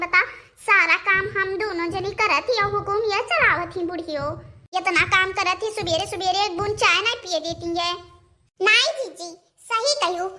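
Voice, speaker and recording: A woman's voice: very high voice, sharp tone, heavily acted. A woman voicing a put-upon young village daughter-in-law in a cartoon, pleading and complaining in an Awadhi accent. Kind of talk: cartoon dialogue.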